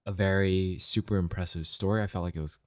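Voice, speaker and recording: A man's voice: low voice, fairly even intonation, quick pace, dry recording, studio quality. A man on a podcast panel giving his opinions in casual conversation. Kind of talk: casual film discussion chat.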